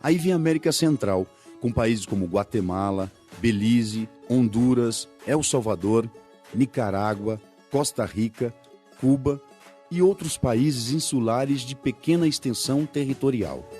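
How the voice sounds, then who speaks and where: A man with a Brazilian Portuguese accent giving scripted documentary narration in a measured way. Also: mid-range voice, mellow.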